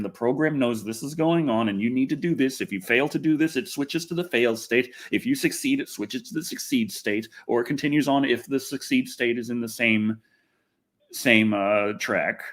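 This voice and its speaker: A man's voice: mid-range voice, normal speed. A man hosting a casual gaming and movie news livestream, rambling and often interrupting himself as he talks. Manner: rambling, animated, self-interrupting. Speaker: livestream host.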